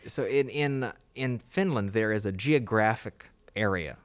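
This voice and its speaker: A man's voice: on the low side, measured pace. Man hosting a radio interview. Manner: asks short questions, encouraging. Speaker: radio host. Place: radio music studio.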